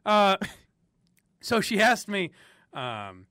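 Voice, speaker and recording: A man's voice: sits high, big pitch swings, extremely slow, pushed and bright, dry recording, studio quality. A young man from the area, hosting opinionated talk radio and ranting with frequent laughs. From Boston area. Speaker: sports radio host.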